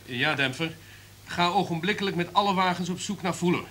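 An actor playing a ruthless, commanding radio station director in a radio drama, imperious and authoritative. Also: male voice, high-pitched, a little fast, sharp tone, a little hiss or hum. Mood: brisk, commanding.

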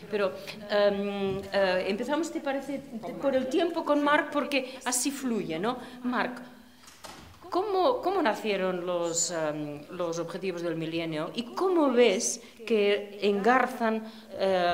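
A woman moderating a panel discussion, speaking. Her voice sits a little low, and she talks hesitantly, with many fillers. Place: conference hall.

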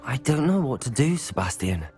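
A man's voice: medium pitch, big pitch swings, normal speed, a little mellow, husky, faint music behind, exaggerated voice. A man playing an earnest, concerned young wizard student in a serious, restrained video game style. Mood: uncertain. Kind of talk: dramatic video game acting.

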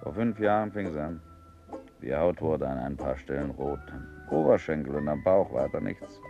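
A man's voice: low voice, darkish tone, highs cut off. An actor playing an embittered, world-weary sick sailor in a German radio play, sardonic and brooding as he talks. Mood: wry, bitter.

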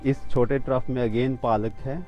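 A man's voice: medium pitch, steady tone, unhurried, muffled recording, soft background music. A man in his fifties giving a walking tour of a rooftop vegetable garden outdoors, pointing out each plant plainly and steadily. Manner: pointing things out plainly and steadily, with small restarts. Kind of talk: walking demonstration, explaining to camera.

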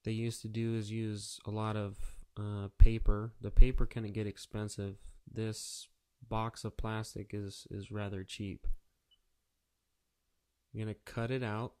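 Guy doing a casual how-to, really flat delivery, smooth clear voice, practical. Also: on the low side, unhurried. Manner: plain, practical, matter-of-fact. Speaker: auto painter giving a how-to tutorial. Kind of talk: casual step-by-step instructional narration.

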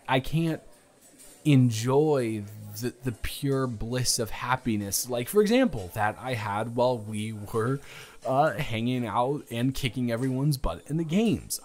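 A man acting an earnest, reassuring young suitor on a date in an improvised romantic audio roleplay, speaking haltingly with stammers. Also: medium pitch, natural voice.

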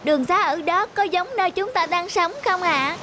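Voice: very high voice, normal speed, background noise. A performer voicing an eager, curious, dreamy little boy in a cartoon. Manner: bright-eyed, wondering. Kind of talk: animated moral tale dialogue. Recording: music behind the voice.

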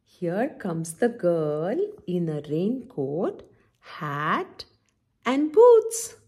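Slow, expressive woman reading to children. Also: on the low side, breathy edge. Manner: expressive, instructive, addresses children with frequent questions. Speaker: children's story-time host. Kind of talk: picture book read-aloud for young children, with questions. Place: indoor room at home, by a window.

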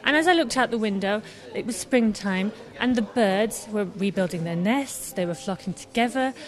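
A woman's voice: mid-range voice, lively intonation, moderate pace. A young woman from Scotland, an artist being interviewed live in a pub, talking enthusiastically with many fillers into a handheld microphone. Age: young adult. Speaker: artist guest. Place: busy pub. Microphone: handheld mic held by host.

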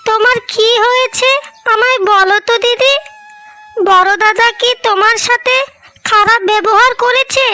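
A woman plays a young village wife in a cartoon, extremely high and childlike in pitch. She speaks slowly and pleadingly, earnest and full of sorrow, in a bright tone. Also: exaggerated voice. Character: two young married village sisters, earnest and tearful. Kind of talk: cartoon story voice acting.

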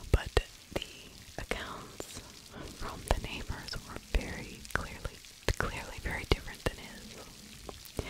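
A middle-aged woman whispers a true crime ASMR commentary in a hushed, conversational way, very close to the microphone. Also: poor audio. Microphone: large fluffy-covered microphone held very close to the mouth.

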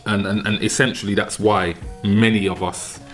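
A man in his forties from London hosts a relaxed podcast interview from his kitchen. He talks haltingly, with a low voice, many ums and repeated words. Faint music sits under the desk-mic recording. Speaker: podcast host. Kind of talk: casual interview. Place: home kitchen. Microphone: desk mic on a boom arm.

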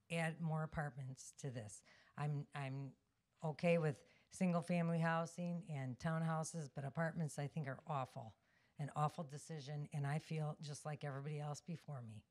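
A woman's voice: low voice, a little mellow. A woman speaking bluntly and plainly as a resident giving public comment at a meeting.

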